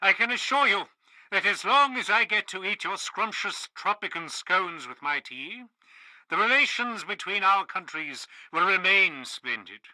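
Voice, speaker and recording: A man's voice: sits high, animated, measured pace, pushed and bright, no echo, exaggerated voice, poor audio. An actor playing a genteel, jovial male lord ambassador with a plummy, pompous British accent, a radio guest in a video game. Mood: smugly delighted.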